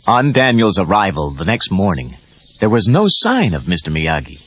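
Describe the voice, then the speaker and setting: A man's voice: low voice, huge pitch swings, moderate pace, a bit bright, husky. A man narrating a scripted children's story as a storybook narrator. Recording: dull lo-fi cassette tape. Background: music.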